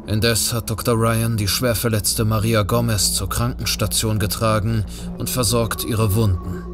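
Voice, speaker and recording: An actor as the solemn narrator of a suspense audio drama, reading scripted narration. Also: male voice, lowish voice, flat delivery, measured pace, music in the background, natural voice. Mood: solemn, suspenseful. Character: solemn male narrator of an icy thriller.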